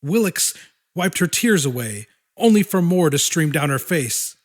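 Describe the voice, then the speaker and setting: A man narrating a horror audiobook in a dramatic, deliberate style with weighty pauses. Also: fairly high pitch, lively intonation.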